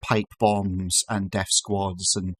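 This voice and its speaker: A man's voice: low voice, level tone, takes it slowly, no room sound, clean studio sound. A man hosting a podcast, talking casually in an exasperated, sweary way and often restarting his sentences. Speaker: podcast host.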